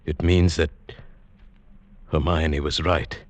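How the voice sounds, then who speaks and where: A man's voice: a bass voice, flat delivery, takes it slowly, no echo, heavily acted. A man acting as a smug, fussy, scheming professor in vintage radio suspense, speaking formally and pedantically. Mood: dread, measured. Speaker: actor and first-person narrator in a radio play. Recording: vintage radio recording with music.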